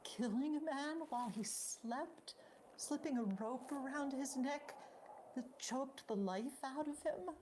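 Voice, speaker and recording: A woman's voice: high voice, takes it slowly, darkish tone. A woman playing an anxious, law-abiding sheriff's wife haunted by loss in a stage play. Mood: agitated, horrified. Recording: noisy amateur recording.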